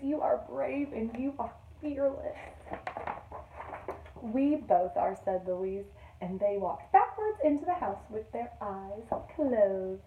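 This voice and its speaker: A woman's voice: mid-range voice, narrow, tinny audio. Woman reading aloud, roomy and distant. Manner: expressive storytelling for young children. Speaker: teacher reading aloud to pupils. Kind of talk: children's picture book read-aloud. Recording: roomy echo, distant microphone.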